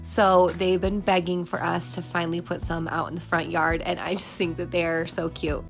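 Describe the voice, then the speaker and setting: Sick-sounding nasal woman chatting thru a decor vlog, lowish gravelly voice, faint music under it. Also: level tone, moderate pace. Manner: chatty and upbeat, with fillers like "um" and "like". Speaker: home decor vlogger. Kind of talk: casual voice-over narration.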